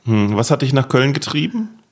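A man's voice: mid-range voice, big pitch swings, normal speed, slight background noise. A man hosting a podcast, interviewing a guest in casual chat, telling rambling, self-mocking stories. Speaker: podcast host.

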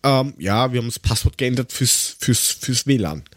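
A middle-aged man chatting casually on a humorous podcast in a halting, wry storytelling manner. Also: mid-range voice, lively intonation, no echo, studio quality. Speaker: podcast host.

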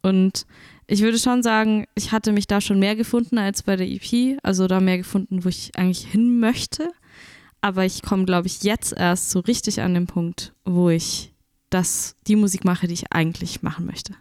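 Young bilingual woman, podcast guest; smooth clear voice, measured and searching, dry studio sound. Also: mid-range voice, steady tone, darkish tone, dry recording. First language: Italian and German, bilingual. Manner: thoughtful, hesitant, searching for words. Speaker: musician guest. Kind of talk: casual podcast interview.

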